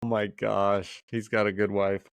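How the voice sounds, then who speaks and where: A young man with a bad cold, co-hosting a casual fantasy football podcast and weighing his picks out loud. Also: lowish voice, flat delivery, unhurried, gravelly, clean tone, no room sound. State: congested with a cold.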